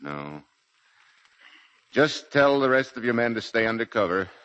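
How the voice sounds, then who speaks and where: A man's voice: mid-range voice, big pitch swings, measured pace, a bit bright, clean tone. A man acting as a stoic, fatherly military commander in 1940s radio drama, grave, terse and commanding. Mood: firm, dry. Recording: muffled 1940s radio broadcast recording.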